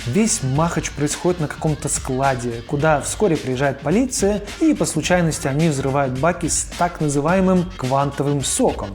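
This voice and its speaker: A man's voice: fairly high pitch, animated, normal speed, hardly any pauses, a little mellow, soft background music. A man narrating an informal scripted video essay as its host, in a colloquial, opinionated manner. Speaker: host of a cartoon retrospective video.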